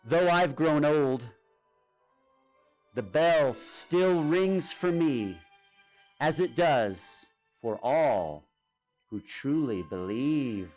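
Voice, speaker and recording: Male storytime reader, theatrical and unhurried, lively swooping delivery, music underneath. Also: a little high. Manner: theatrical, expressive, with drawn-out pauses. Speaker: storyteller reading a picture book aloud. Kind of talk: dramatic children's storytime narration. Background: music and sound effects.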